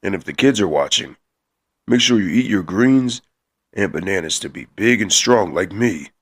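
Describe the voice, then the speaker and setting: A man's voice: low voice, expressive, normal speed, a bit bright, husky, exaggerated voice. A man voicing a big, brash, hot-headed and shameless gorilla in a scripted comedy cartoon. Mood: easygoing. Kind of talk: scripted comic cartoon dialogue.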